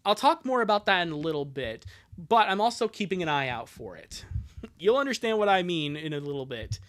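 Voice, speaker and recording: A man's voice: sits high, sing-song, fairly quick, a bit bright. A man hosting a livestream, giving casual, chatty commentary with a joking, sarcastic manner. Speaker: livestream host. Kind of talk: casual livestream commentary on a fan comic reading.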